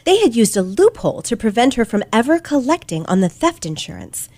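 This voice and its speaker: Give the part of a sharp, self-assured teenage girl detective to a woman actor in an old radio mystery. The voice sits fairly high and swings widely for dramatic emphasis. Every phrase is precise and theatrical, in a dry recording. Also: big pitch swings, brisk, dry recording. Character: teenage girl detective, confident, sharp, relishing her explanation. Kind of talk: old-fashioned radio play acting.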